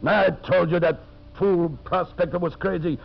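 A higher-voiced, grumbling henchman. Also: steady tone, normal speed, creaky. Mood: incredulous, scornful. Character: sceptical, grumbling male timber foreman, shady henchman. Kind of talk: 1950s radio drama acting. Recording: dull, hissy 1950s radio broadcast recording.

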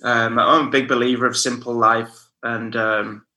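A man's voice: mid-range voice, flat delivery, normal speed, pushed and bright. A man in his thirties with a Northern English accent, talking casually as a podcast guest and saying "mate" and "you know" often. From northern England. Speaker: guest, house music DJ and producer.

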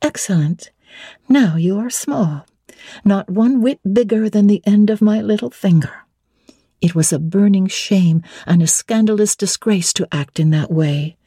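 Older storyteller lady doing a frank young heroine, teasing and challenging, soft dark husky tone, dry recording. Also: medium pitch, a little slow, no room sound, pitched above the performer's own voice. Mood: indignant. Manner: playful, challenging, forthright. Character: spirited, frank young woman, teasing bride-to-be. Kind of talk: dramatic classic novel reading.

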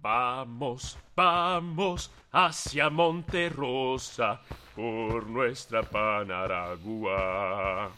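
An actor playing a revolutionary man, singing an anthem slowly in an audio drama. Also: mid-range voice, pushed and bright, smooth. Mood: rousing.

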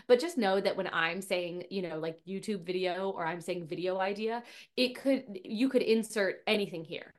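A woman hosting her own podcast, chatty and upbeat with many fillers and restarts, a little nasal from a cold. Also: mid-range voice. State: getting over a cold, a bit nasal. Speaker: podcast host and business coach for piano teachers. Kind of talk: casual solo podcast talk.

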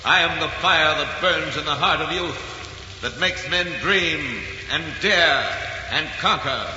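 Passionate radio narrator, highish forceful voice, declamatory, long reverb, 1940s adventure romance, muffled recording. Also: male voice, slow, a little hiss or hum, exaggerated voice. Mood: grand, stirring. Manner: declamatory, melodramatic. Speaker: announcer introducing the play.

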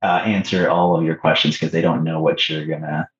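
A man from Canada, a podcast guest talking casually, relaxed and hesitant with many ums and restarts, recorded with a slightly dull sound in an echoey room. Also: extremely low pitch, fairly quick, slight background noise. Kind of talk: casual interview talk.

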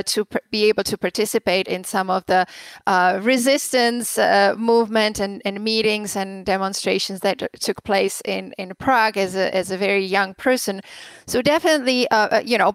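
A woman's voice: mid-range voice, vocal fry, clear, resonant tone, dry recording. A woman in her forties from the Czech Republic, a guest expert answering radio interview questions with many fillers and restarts. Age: 40s.

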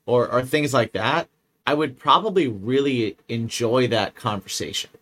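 A man's voice: medium pitch, unhurried, a bit bright. A man co-hosting a podcast in casual chat, rambling and restarting his sentences and swearing freely. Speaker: podcast co-host.

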